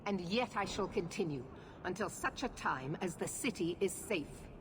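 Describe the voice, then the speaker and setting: An actress playing a stern, unyielding knight commander, with a commanding, severe and formal delivery in a fantasy game. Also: female voice, lowish voice, animated, moderate pace, noisy background, close to an everyday voice. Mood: cold, unyielding. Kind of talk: dramatic fantasy video game acting.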